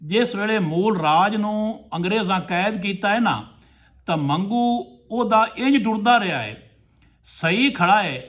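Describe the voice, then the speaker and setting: A man's voice: sits high, expressive, measured pace, fairly bright tone. A man telling a folk tale to listeners as a storyteller, in short rustic phrases.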